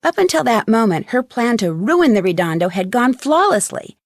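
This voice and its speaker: A teenage girl sleuth with an American accent in a mystery game. Her voice sits fairly high and is recorded dry and close. She recounts a solved case in a wry, chatty storytelling way. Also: sing-song, normal speed, dry recording. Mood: wry, amused. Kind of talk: scripted game epilogue narration.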